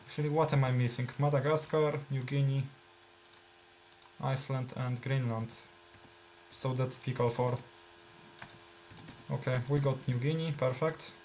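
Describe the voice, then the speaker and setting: A man's voice: medium pitch, steady tone, measured pace, pauses often, a little mellow, a little hiss or hum, poor audio. A man with a Polish accent, native Polish speaker, casually commenting on his own gameplay while thinking aloud with fillers. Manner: thinking aloud, many fillers and trailing phrases. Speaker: gameplay streamer.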